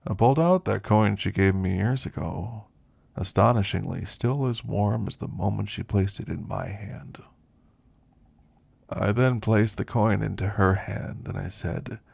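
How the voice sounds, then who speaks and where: A man playing a weary, wistful elderly werewolf who confides in slow, reflective ASMR roleplay storytelling, gravelly and husky. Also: lowish voice, a little mellow, dry recording, exaggerated voice. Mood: wistful, mournful. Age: older.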